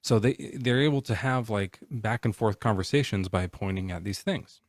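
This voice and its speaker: Man in his forties, live-stream host, low pitch, dry, halting, rambly. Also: no echo. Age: 40s. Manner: rambling, halting, many fillers and restarts. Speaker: livestream host. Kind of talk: casual live-stream chat.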